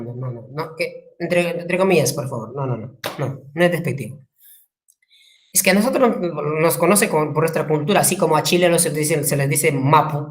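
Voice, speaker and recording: A woman with a Peruvian Spanish accent giving a classroom lecture, acting out quotes and asking the class questions. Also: deep voice. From Peru. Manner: lively, acts out quotes, asks the class questions. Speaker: teacher.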